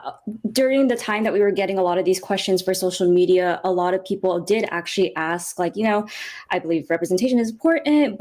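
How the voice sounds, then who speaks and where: A woman's voice: on the low side, darkish tone. A woman moderating a casual panel, relaying audience questions in an informal, encouraging way.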